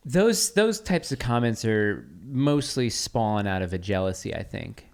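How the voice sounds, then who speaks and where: A man's voice: on the low side, sing-song, a little mellow. A man hosting a casual podcast chat, talking easygoing and drawing out some phrases. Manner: easygoing, drawn-out phrasing, chuckles. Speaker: podcast host.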